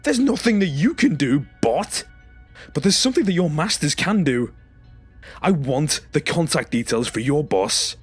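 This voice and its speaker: A man's voice: fairly high pitch, sing-song, normal speed, slightly rough, faint music behind. A man playing a traumatised, confrontational former stasis patient in an audio drama, speaking emphatically and demanding accountability. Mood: firm, threatening.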